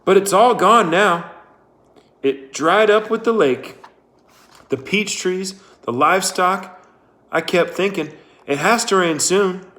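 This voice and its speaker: Storybook woman, played by a male reader: careworn, desperate, urgent, pitched up. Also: slow pace, long pauses, bright, forceful tone, full character voice. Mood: despairing. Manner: urgent, insistent. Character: desperate, careworn young-to-middle-aged woman, pleading and demanding.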